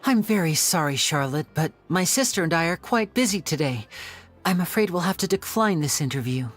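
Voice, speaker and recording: Smooth showman magician guy, polite and playful, lively voice. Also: a little high, a little fast. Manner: suave, theatrical, polite. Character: young male stage magician, smooth and charming. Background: game music.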